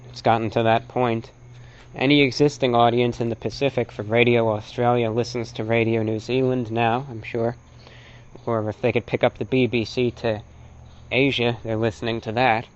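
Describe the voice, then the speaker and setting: A man with an American accent gives an unscripted, opinionated lecture in a halting, emphatic way, repeating short phrases for effect. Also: medium pitch, level tone, lo-fi sound. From North America. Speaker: presenter, shortwave radio enthusiast.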